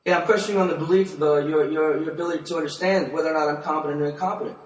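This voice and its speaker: A man playing an argumentative, pedantic defendant who presses questions in halting, wordy legal phrasing. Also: fairly high pitch, fairly even intonation, close to an everyday voice. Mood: pressing. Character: argumentative, pedantic male defendant insisting he is sane. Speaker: client defendant arguing with his lawyer. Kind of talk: confrontational legal questioning in conversation. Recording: distant mic in a reverberant, echoey room.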